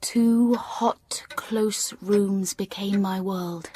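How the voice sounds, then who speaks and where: An actress playing a reserved, melancholy young gentlewoman, narrating her life in a measured way in a literary audio drama. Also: medium pitch, fairly even intonation, slight background noise. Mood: restrained, resigned. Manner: measured, sombre. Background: music.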